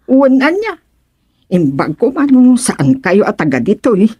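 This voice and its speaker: A woman's voice: medium pitch, very animated, unhurried, dark tone, husky. A woman with an Ilocano accent playing a proud, opinionated village councilwoman in a radio comedy drama. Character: proud, outspoken village councilwoman championing her sector.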